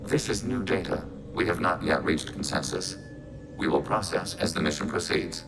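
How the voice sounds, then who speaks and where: Very deep, gravelly synthetic robot. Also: male voice, moderate pace, music in the background, rough recording. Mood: impassive, analytical. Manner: flat, formal, clipped machine-like statements. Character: male-voiced geth robot, logical, collective-minded, emotionless soldier. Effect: synthetic robot voice processing. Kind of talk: video game character acting.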